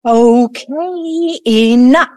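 Woman acting a high-voiced, sarcastic hostile daughter. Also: very slow, warm tone, no room sound, studio quality. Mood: sarcastic, impatient. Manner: sarcastic, impatient. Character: hostile, sarcastic, grieving grown daughter of a dead mogul. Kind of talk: audio play acting.

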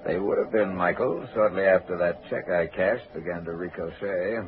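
A man playing a grandiloquent, smooth-talking swindler posing as a colonel in a 1940s radio drama, pompous, wordy and elaborately polite. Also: low voice, moderate pace, natural voice. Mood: wry. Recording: scratchy, tinny 1940s radio recording.

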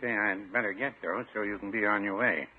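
An actor playing a dry, mild older druggist with a deadpan, understated manner in a 1950s radio comedy. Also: male voice, lowish voice, a little fast, breathy edge. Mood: wry grumbling. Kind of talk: 1950s radio sitcom acting. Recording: muffled, very noisy old radio recording.

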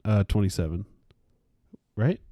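A man's voice: lowish voice, no echo, clean studio sound. Laid-back slangy man in his twenties. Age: 20s. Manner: relaxed, slangy, says "dude" a lot, explains with pauses. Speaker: podcast co-host. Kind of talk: casual Bible podcast chat.

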